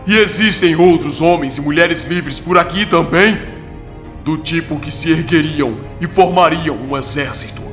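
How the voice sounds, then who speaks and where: Man playing a slow, solemn warrior god. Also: a little high, fairly bright tone. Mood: rousing, solemn. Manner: solemn, formal, archaic phrasing. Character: proud, defiant warrior god hero. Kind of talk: dramatic motion comic dub. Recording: long reverb with music underneath.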